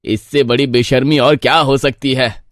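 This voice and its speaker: A man's voice: mid-range voice, fairly even intonation, moderate pace, fairly bright tone, dry recording, close to an everyday voice. A man playing a disapproving village gossip in a family story. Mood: scandalized gossip.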